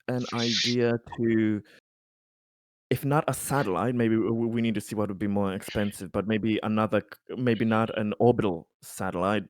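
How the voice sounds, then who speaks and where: Guy roleplaying a careful colony councilman, lowish clear voice, hesitates a lot, kinda dry sound. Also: no echo, lightly acted. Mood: tentative. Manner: hesitant, halting, deliberative delivery. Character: cautious, budget-minded male colony council member. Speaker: player in a tabletop roleplaying game. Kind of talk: improvised in-character tabletop roleplay.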